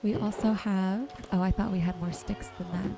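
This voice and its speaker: A woman giving relaxed ASMR-style gameplay commentary, gently thinking aloud without hurry. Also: medium pitch, normal speed, music in the background. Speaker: gaming video host.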